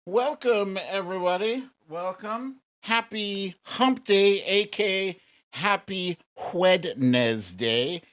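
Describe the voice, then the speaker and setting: A man's voice: high-pitched, lively intonation. A middle-aged American man hosting a casual livestream, chatting informally and playfully with his viewers. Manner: informal, playful, drawn-out shout-outs to viewers. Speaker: livestream show host.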